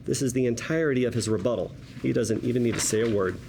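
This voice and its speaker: A man's voice: medium pitch, quick pace. A man narrating screenplay action as the narrator at a script table read. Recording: clearly noisy room.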